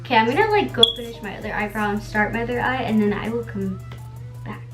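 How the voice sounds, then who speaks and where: A young woman in her twenties chats loosely at home, her voice sitting a little high. She is a native Spanish speaker who rambles through stories with endless "like"s and a casual swear here and there. Quiet music plays faintly underneath her talk. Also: quick pace. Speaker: beauty vlogger. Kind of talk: casual chat while doing makeup.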